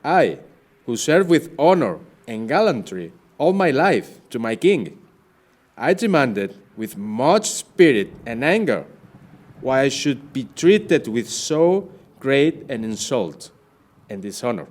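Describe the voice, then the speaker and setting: Man acting a slow-spoken, proud sea captain. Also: mid-range voice, sing-song. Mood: indignant. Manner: declamatory, formal. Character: proud, wronged Spanish sea captain, gallant and indignant. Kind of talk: audio play acting.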